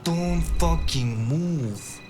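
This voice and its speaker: A man playing a desperate, threatening gunman in an audio drama, speaking deliberately like someone with nothing left to lose. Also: a little high, music in the background. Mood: menacing.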